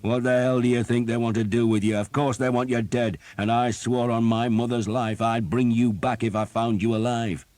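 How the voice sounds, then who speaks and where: A man voicing a blunt, foul-mouthed male archer captain who shouts and curses, in a dry, close recording. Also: on the low side, moderate pace, no echo, pitched above the performer's own voice, close to an everyday voice. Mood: sarcastic. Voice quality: shouting, cursing.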